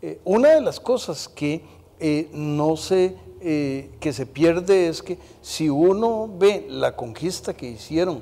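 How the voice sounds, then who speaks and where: An older man in his sixties hosts a conversational interview in a reverberant room. His delivery is very slow and halting, with long pauses to search for words, and his voice sits a little high. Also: animated, vocal fry, dark tone. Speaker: interview show host. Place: brick-walled indoor room, television set. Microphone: lapel mic.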